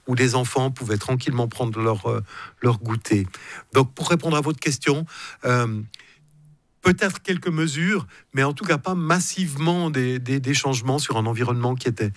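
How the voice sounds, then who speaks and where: Middle-aged man, mid-range pitch, halting, careful radio guest. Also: no room sound. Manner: measured, hesitant, many fillers and restarts. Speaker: council president interviewed as guest. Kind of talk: radio interview.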